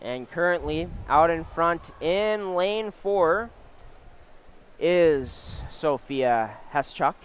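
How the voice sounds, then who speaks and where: A man calling a swim meet live as play-by-play commentator, with measured announcer phrasing. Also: on the high side. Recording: steady clear background venue noise. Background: swim meet ambience.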